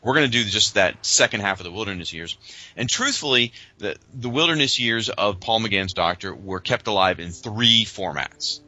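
Dude cohosting a podcast, lowish voice but super bright and forceful, informal, emphatic, keeps the chat moving. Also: male voice, expressive, moderate pace, muffled recording. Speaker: podcast co-host. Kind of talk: casual conversational hosting.

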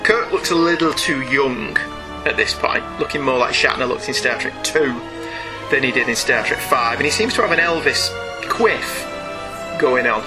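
A man's voice: medium pitch, lively intonation, moderate pace. A man chatting casually as co-host of a comic-book review podcast, opinionated and wry. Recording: music behind the voice. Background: music.

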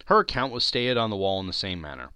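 A man's voice: on the low side, big pitch swings, fast, pushed and bright, clean tone, dry recording. A man narrating a classic story as a dramatic reading, theatrical and emphatic. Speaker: podcast host, narrator.

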